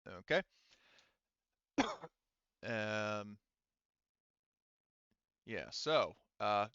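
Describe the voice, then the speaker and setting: A man acting as game master in an online tabletop roleplaying game, talking casually and haltingly, with informal explanations and asides. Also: lowish voice, fairly bright tone, smooth, no echo, highs cut off.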